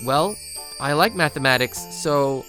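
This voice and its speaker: An actor playing a studious, reserved teenage high school boy who narrates and speaks in a manga video, introspective and a little hesitant. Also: expressive, unhurried, fairly bright tone, soft background music, low-quality recording. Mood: earnest.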